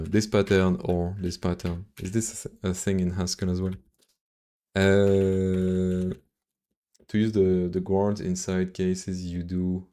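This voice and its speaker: A man with a French accent and a very low voice, casually commenting on his own coding. He takes his time, muttering hesitantly to himself, and his tone is dark and mellow. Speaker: live-streaming programmer.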